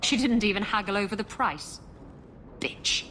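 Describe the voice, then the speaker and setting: A woman's voice: mid-range voice, normal speed, bright, forceful tone, a little hiss or hum, close to an everyday voice. An actress playing a sultry, guarded pirate woman in a video game, teasing and flippant. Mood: bitter.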